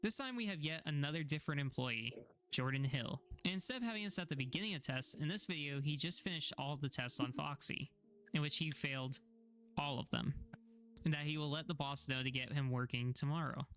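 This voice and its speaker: A man's voice: fairly high pitch, fairly quick, fairly bright tone. A man giving a scripted narration that recaps horror videos.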